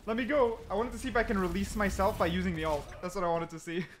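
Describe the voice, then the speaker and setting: A man's voice: sits high, steady tone, fast talker. A man giving casual, slangy gameplay commentary, thinking aloud as he goes. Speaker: gaming content creator.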